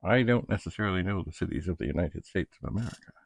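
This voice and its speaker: A man thinking aloud in a halting, casual ramble as he hosts a hands-on hobby demonstration, muttering to himself. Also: sits low, lively intonation, gravelly. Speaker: retro computer hobbyist host. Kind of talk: casual rambling demonstration while testing equipment.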